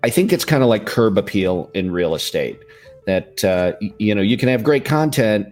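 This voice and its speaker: A man chatting on a podcast, giving earnest, relaxed advice to new podcasters over a USB mic. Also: on the low side, normal speed. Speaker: podcast guest. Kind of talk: casual podcast chat. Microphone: USB condenser mic.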